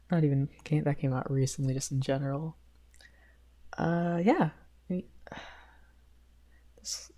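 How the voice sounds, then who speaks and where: A woman's voice: extremely low pitch, dark tone. A woman playing a shy young male best friend in an ASMR roleplay, rambling hesitantly with stammers and nervous giggles.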